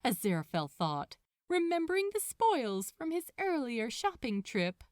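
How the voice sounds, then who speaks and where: A woman reading fiction aloud as a narrator, in an expressive storytelling style. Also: sits high, moderate pace, no room sound, studio quality. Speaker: podfic narrator.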